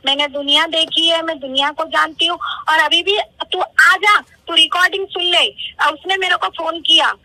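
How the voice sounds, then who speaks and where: A woman's voice: very high-pitched, fairly even intonation, moderate pace, bright, forceful tone. A middle-aged woman talking informally on a recorded phone call, motherly and insistent, repeating her points as she advises someone younger.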